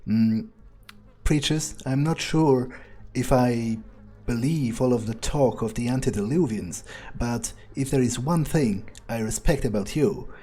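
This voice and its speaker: A man voicing a wry, cocky fledgling vampire in an improvised game role-play, speaking informally with musing pauses. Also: mid-range voice, dark tone, slightly rough. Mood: considering. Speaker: gamer role-playing as his character. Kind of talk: improvised in-character game playthrough.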